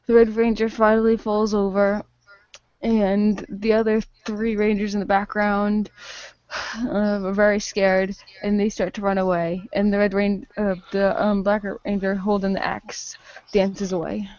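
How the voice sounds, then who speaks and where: A woman narrating an improvised role-play game, haltingly making it up as she goes. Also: medium pitch, flat delivery, darkish tone, clean tone, no room sound, dull audio.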